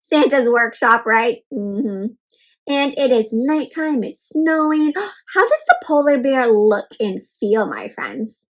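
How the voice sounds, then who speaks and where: A woman's voice: high voice, lively intonation, slow, low-quality recording. A woman hosting an interactive storybook read-aloud for kids, asking questions and explaining words simply. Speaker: children's read-aloud host, teacher.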